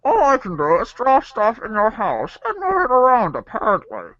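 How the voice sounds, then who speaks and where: A woman playing an excitable young game player in a theatrical, exclamatory fan script reading. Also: on the high side, normal speed, no room sound, dull audio. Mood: earnest, careful.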